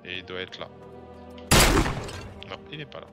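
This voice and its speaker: A man's voice: sits low, expressive, moderate pace. A man chatting casually as he streams a game, hesitant and full of fillers and restarts. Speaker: gaming streamer. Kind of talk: casual gameplay commentary. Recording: loud game music behind the voice.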